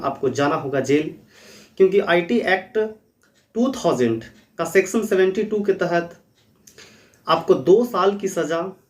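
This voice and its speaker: Guy with a pretty high voice explaining stuff to viewers, earnest teacher type, sounds a bit away from the mic. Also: distant mic. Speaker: host of a legal awareness channel.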